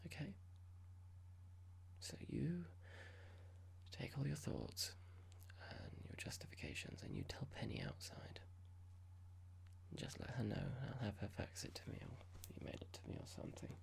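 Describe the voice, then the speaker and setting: A performer playing a cold, smug corporate boss in a whispered ASMR roleplay, speaking slowly and deliberately with breathy creak and mock politeness. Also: medium pitch, huge pitch swings, heavily acted, poor audio. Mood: dismissive, condescending.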